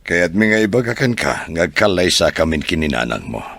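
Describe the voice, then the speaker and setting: Very deep-voiced earnest suitor. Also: male voice, big pitch swings, normal speed, a bit bright, no echo, exaggerated voice. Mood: earnest, solemn. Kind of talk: Ilocano radio drama acting.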